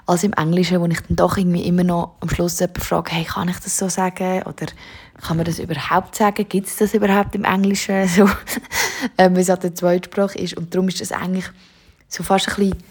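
A woman's voice: on the low side, a little mellow, slightly rough. A young woman with a Swiss German accent, a singer-songwriter casually answering interview questions with many fillers.

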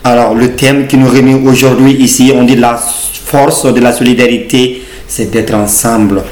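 Male radio journalist, formal and slightly halting, recorded in a reverberant room. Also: medium pitch, fairly even intonation, darkish tone. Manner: formal, hesitant questions with fillers. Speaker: interviewer, journalist. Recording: echoey room with faint ambient noise.

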